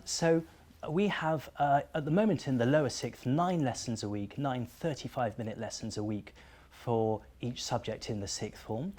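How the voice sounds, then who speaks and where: A male teacher hosts a relaxed interview with his students, speaking in a friendly, conversational way. His pitch sits in the middle, neither low nor high. His talk is dotted with small "uh" fillers as he moves between questions. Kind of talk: school promo interview.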